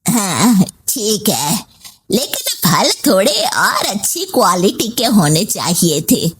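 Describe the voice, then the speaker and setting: A woman's voice: medium pitch, huge pitch swings, slow, husky, heavily acted. A woman voicing a domineering, demanding older mother-in-law in a story, scolding and complaining. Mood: dismissive. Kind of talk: story voice acting.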